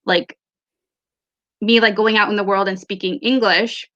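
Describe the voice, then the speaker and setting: Bright-voiced woman on a lo-fi call. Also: medium pitch, fairly even intonation, a little slow. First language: Tamil. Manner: thoughtful, many fillers and restarts. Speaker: book club co-host. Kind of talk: casual book discussion over video call. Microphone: laptop or phone mic on a video call.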